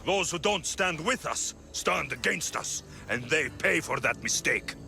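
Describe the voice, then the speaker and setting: An actor playing an arrogant, threatening young warrior of a secret cult in a video game, with a slow, taunting delivery. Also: male voice, fairly high pitch, animated, vocal fry, bright, forceful tone, faint music behind, heavily acted. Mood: cold, menacing.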